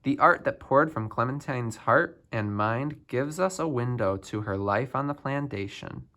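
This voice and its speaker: A man reading a children's picture book biography aloud, phrase by phrase with expressive emphasis. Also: medium pitch, a little slow. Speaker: storybook reader.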